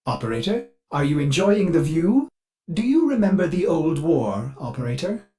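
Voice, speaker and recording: Swoopy, fussy, servile ship computer. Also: male voice, on the high side, sing-song, warm tone. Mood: eager, polite. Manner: overly polite, theatrical, servile, halting. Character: fussy, eager-to-please artificial mind of a spaceship. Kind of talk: video game character dialogue.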